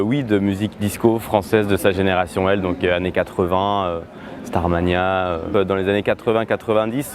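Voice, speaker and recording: A young man, answering interview questions about his music in a relaxed, hesitant way with many filler pauses. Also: sits low. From Switzerland. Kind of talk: casual interview talk.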